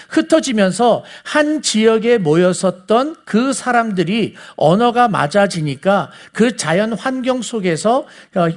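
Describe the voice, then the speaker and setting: A man with a Seoul accent giving a conversational Bible teaching, explaining things and putting questions to his listeners. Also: sits high. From South Korea. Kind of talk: conversational sermon lecture to a congregation.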